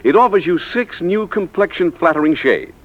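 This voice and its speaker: An American man delivering a polished, emphatic scripted sales pitch as a 1940s radio announcer. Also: expressive, normal speed. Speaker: commercial announcer. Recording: tinny, narrow 1940s radio recording.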